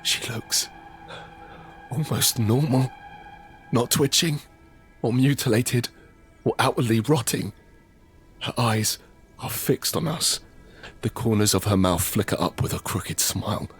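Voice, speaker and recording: A man's voice: medium pitch, a little slow, pauses often, close to an everyday voice. A man playing a tense survivor who narrates a zombie apocalypse audio drama in short, suspenseful phrases. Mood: tense, hushed. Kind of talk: first-person dramatic narration with acted dialogue. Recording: background music under the voice.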